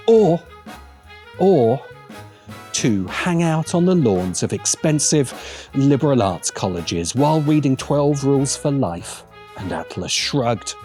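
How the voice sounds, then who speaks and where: Male narrator, scripted essay, wry and dramatic, very lively intonation, unhurried, music behind. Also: medium pitch. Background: background music.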